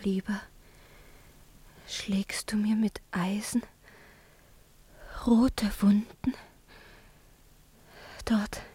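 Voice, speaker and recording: Breathy, whispering wounded lover. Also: female voice, medium pitch, darkish tone, exaggerated voice. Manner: halting, tender. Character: wounded, dying female lover, tender and reproachful. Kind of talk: German radio play verse acting.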